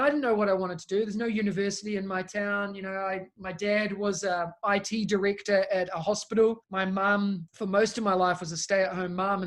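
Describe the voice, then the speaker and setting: A man's voice: high voice, a bit bright. Upbeat New Zealand man. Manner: upbeat, friendly, talks at length with fillers. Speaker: guest, education technology consultant. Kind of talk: casual conversational interview.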